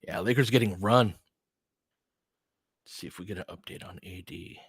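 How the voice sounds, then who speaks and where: A man with a fairly low voice commentates on basketball in a close, dry recording. He talks conversationally and analytically, thinking aloud and slipping between play-by-play and chatty asides to listeners. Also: normal speed, slightly rough, no echo. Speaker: sports streamer doing audio play-by-play and analysis. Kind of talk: live basketball commentary mixed with casual analysis and chat replies.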